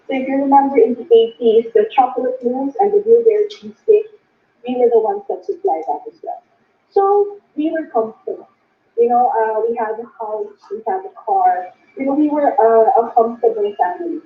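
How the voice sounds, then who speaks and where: A woman's voice: on the high side, animated, moderate pace. A young Filipino woman telling her family story in a presentation, slipping Tagalog and Bisaya words into her English. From Philippines. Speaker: business recruiter sharing her story. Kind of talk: personal testimony presentation. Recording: echoey, distant, phone-like sound.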